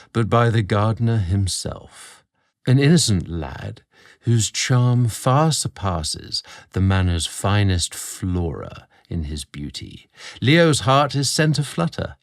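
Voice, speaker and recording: A British man narrating a scripted story, theatrical and deliberate in his phrasing, drawing out words as a podcast host. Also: medium pitch, gravelly, no room sound, studio quality.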